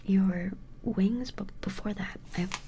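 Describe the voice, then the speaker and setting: A woman doing a gentle ASMR personal attention role-play as an attentive shopkeeper, whispering breathily and a little hesitantly, close to the microphone. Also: mid-range voice, unhurried, heavy background noise. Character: attentive vampire shopkeeper measuring a creature customer.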